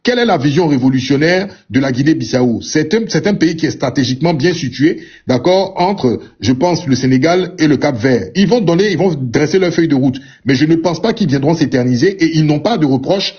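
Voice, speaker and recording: A man commenting on politics in a radio debate, speaking in a measured way, asking rhetorical questions and repeating "d'accord" as a filler. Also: on the high side, animated, darkish tone, husky, dull audio.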